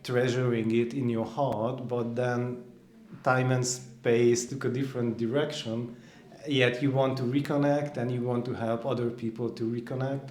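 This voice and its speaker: A man's voice: medium pitch, flat delivery, moderate pace, hardly any pauses, darkish tone, roomy sound. A man from Hungary, a band member, answering interview questions in an earnest way, often searching for words.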